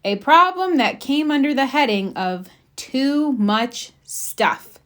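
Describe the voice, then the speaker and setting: A woman narrates a children's picture book. Her voice sits a little high and moves with lots of melody. She phrases things expressively and emphatically for young listeners. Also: very animated, takes it slowly, fairly bright tone. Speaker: storybook reader.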